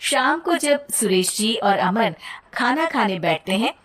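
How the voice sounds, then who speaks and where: Woman telling a kids cartoon story, even tone, slightly high voice. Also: unhurried. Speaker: narrator. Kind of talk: storybook narration.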